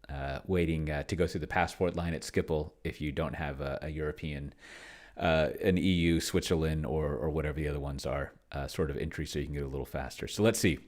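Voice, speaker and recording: A man giving casual, rambling commentary on a solo tabletop game, thinking aloud and muttering to himself as he types. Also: very deep voice. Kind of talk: casual screen-recording commentary, thinking aloud while typing. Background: keyboard typing.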